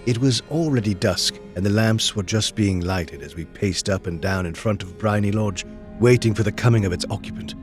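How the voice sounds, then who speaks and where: An earnest gentleman companion, acted by a man, telling a detective story in an audio drama. The voice is on the low side and measured, loyal in tone, with soft music underneath. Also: close to an everyday voice. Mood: reflective, measured. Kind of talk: audio drama narration and dialogue.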